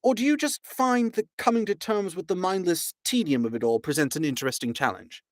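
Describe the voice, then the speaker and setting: A man voicing a quick-witted male traveller who talks in a brisk, wry, persuasive way. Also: sits high, no echo, clean studio sound, pitched above the performer's own voice, lightly acted. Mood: wry coaxing.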